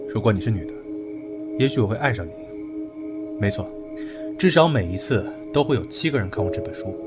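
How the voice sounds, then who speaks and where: An actor playing a calm, analytical young man in an audio drama, speaking in a measured, matter-of-fact way. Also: fairly low pitch, loud music behind the voice, lightly acted.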